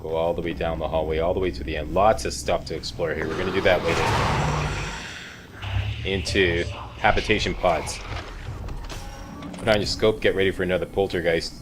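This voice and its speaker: A man with a very deep voice narrates a game walkthrough. He is casual and laid-back, handing out short step-by-step directions, with game ambience clearly audible behind him. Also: fairly even intonation, normal speed, pauses often. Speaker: gaming video host. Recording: clear background game noise. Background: video game ambience.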